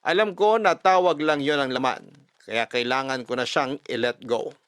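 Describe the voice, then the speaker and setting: A man narrating a first-person love story dramatically, expressive and emphatic, mixing Tagalog and English. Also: medium pitch, moderate pace, clean tone, no room sound. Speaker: storyteller reading a listener's letter.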